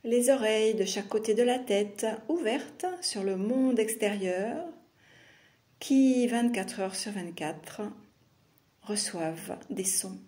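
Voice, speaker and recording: Very slow, mellow woman guiding meditation. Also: mid-range voice. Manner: slow, soothing, with long pauses between short phrases. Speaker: yoga nidra teacher. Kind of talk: guided meditation.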